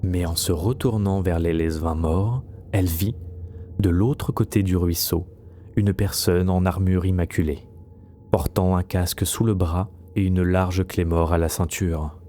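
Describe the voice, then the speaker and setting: A man's voice: low voice, steady tone, a little slow, dark tone, slight background noise. A man narrating a scripted fantasy audio story as a storyteller. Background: faint music.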